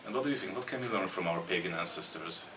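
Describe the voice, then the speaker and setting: A woman interviewer with an unusually deep voice, asking short questions at a quick clip. She sits back from the mic in a reverberant room, with a clear bed of ambience underneath. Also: slight background noise. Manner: brief questions, constant soft backchannel murmurs. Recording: roomy distant sound with clear ambience.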